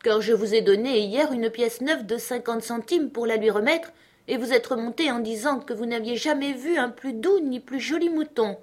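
Woman voicing a sweet, high-pitched eager little girl. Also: normal speed, close to an everyday voice. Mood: reproachful, pleading.

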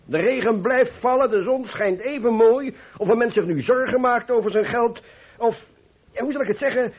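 An actor playing a cheerful, carefree older painter who philosophizes persuasively in a radio play. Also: male voice, extremely high pitch, normal speed, slightly rough. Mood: animated, carefree. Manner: exuberant, persuasive, rhetorical. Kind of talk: Dutch radio play acting. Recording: muffled old radio play recording.

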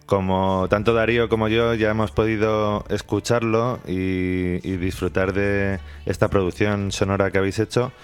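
A man with a Spanish accent from Spain hosts a radio programme. His voice sits low, and he introduces and asks questions in a conversational way from the studio. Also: little pitch movement, moderate pace, few pauses, smooth. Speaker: radio host.

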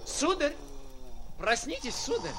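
A obliging, fussy waiter from a vintage radio comedy. The high voice moves animatedly with servile politeness and a touch of pride. Faint noise sits on the old recording. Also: male voice, huge pitch swings, slow pace, exaggerated voice. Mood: anxious, polite. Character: deferential, fussy hotel waiter, obligingly proud. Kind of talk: 1940s Soviet radio play acting.

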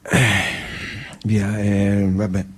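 A man's voice: lowish voice, completely flat delivery, extremely slow, darkish tone. Man acting as old Tuscan husband, glum, stubborn, rambling, colloquial, comic stage delivery. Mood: weary, resigned. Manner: rambling, colloquial, punctuated with questioning tags. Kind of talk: comic theatrical monologue and dialogue.

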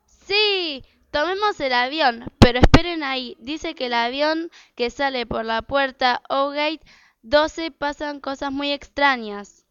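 An outspoken schoolgirl acted by a woman in a family radio play, always questioning. The voice is high, on a dry, slightly muffled recording. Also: takes it slowly, fairly bright tone, dry recording. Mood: uneasy. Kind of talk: amateur radio drama acting.

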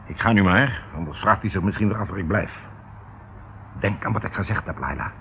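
A man's voice: low-pitched, a little fast. An actor in his fifties playing a coaxing, evasive ship captain in a radio drama. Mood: urgent, hushed. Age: 50s. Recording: tinny band-limited old radio recording, noisy.

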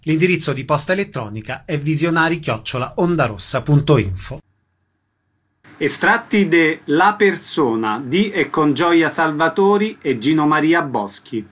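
A man's voice: a little high, expressive, moderate pace, fairly bright tone. A man reading a scripted announcement as a radio presenter.

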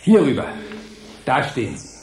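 An actor playing a proud, brisk man showing off his laboratory androids in a science fiction radio play. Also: mid-range voice, wildly swooping pitch, darkish tone. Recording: echoey room, background noise.

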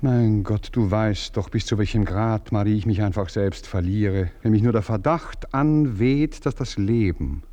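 A man's voice: fairly low pitch, measured pace, few pauses, mellow, lightly acted. A man playing a wheedling baron. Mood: self-pitying. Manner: coaxing, wheedling, self-pitying. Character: self-absorbed male nobleman, pleading and evasive. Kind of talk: radio play acting.

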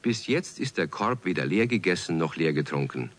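An actor playing a calm, amused storyteller, narrating a children's radio play from a script. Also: male voice, deep voice, moderate pace.

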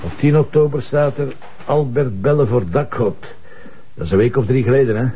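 Man playing a mellow, wry Flemish police commissioner. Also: medium pitch, moderate pace, husky, lo-fi sound, lightly acted. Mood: matter-of-fact. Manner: dry, conversational. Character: wry, laid-back male police commissioner. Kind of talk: naturalistic TV crime drama acting.

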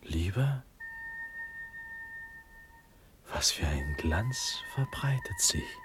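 An actor playing a dreamy young prince in a classical verse radio play, hushed and halting, often slipping into whispers. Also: male voice, mid-range voice, wildly swooping pitch, music in the background. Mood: dazed. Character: dreamy, entranced young prince facing death.

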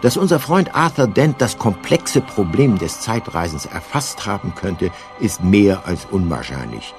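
Male radio-play narrator, lowish voice, deadpan literary irony, measured delivery, faint music underneath. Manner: measured, dryly ironic, literary. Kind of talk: scripted narration for a comic science-fiction radio play.

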